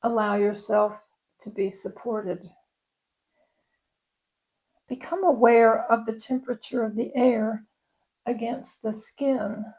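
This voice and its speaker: Slow, soft-toned woman guiding meditation. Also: on the high side, steady tone, slightly rough, poor audio. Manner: gentle, soothing, unhurried. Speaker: yoga nidra instructor. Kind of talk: guided meditation.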